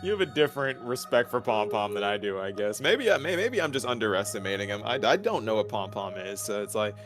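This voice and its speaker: A man's voice: on the low side, sing-song, quick pace, over music. A man chatting casually about a game as a guest, with an exaggerated, comically exasperated way of talking. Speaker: guest co-commentator. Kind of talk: casual gaming chat.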